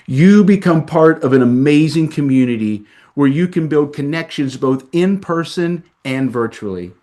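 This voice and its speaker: A man at a mid pitch for his sex, reading a prepared health pitch slowly and with stress on key words. He presents as a doctor, each line deliberate and polished, like a rehearsed webinar script. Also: a little mellow.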